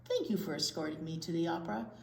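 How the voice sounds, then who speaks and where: A woman's voice: lowish voice, fast, gravelly. A woman voicing an affectionate, playful elderly grandfather from a children's storybook. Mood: fond. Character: affectionate elderly grandpa who loves opera. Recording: roomy echo, faint background noise.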